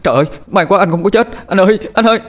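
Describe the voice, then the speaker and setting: A man's voice: medium pitch, animated, quick pace, faint music behind, voice pushed up, natural voice. A man voicing a cowardly, clingy younger brother with a frantic, whiny, pleading manner in a horror story. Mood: relieved, excited. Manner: wailing, pleading.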